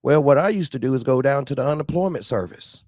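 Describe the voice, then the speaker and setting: A man hosts a loose call-in chat in a medium-pitched voice. He tells stories in slangy, conversational talk and slips into quoted dialogue. The sound is dry, with very little room on it. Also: fast talker, no room sound. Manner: slangy, animated anecdote telling with acted-out quotes. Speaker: call-in show host. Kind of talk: casual trucking chat and storytelling.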